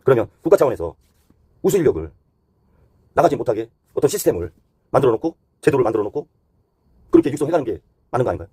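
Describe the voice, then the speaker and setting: A man delivers current-affairs commentary at a quick pace, earnest and critical as he poses rhetorical questions. His voice is dark and somewhat raspy, and the recording is close and dry. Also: medium pitch, very animated, dry recording. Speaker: host and commentator. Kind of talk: current-affairs opinion monologue.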